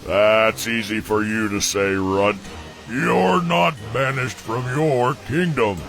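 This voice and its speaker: A man voicing a proud, brooding exiled dragon in an animated film, speaking in a dry, grandiose, theatrical way. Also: lowish voice, takes it slowly, soft background music. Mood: resentful, proud. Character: banished male dragon, gruff, brooding, proud of his might.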